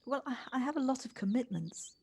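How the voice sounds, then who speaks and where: Hesitant, higher-pitched woman acting in audio drama. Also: lively intonation, a little mellow, slight background noise. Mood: wistful, hesitant. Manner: halting, hesitant, repeats words. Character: hesitant, gentle city woman, quietly wistful.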